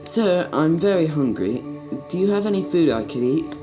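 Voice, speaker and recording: A man's voice: on the high side, animated, normal speed, music in the background, natural voice. A man playing a naive, spoiled young prince in a radio drama. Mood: pleading. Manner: hesitant, indignant.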